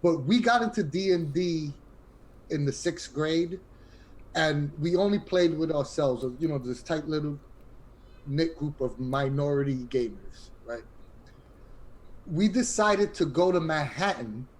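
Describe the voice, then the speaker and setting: A man's voice: a little high, expressive, measured pace, rough recording. A man telling personal stories in an informal, emphatic way in a casual group chat. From Queens, New York City. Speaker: podcast panelist.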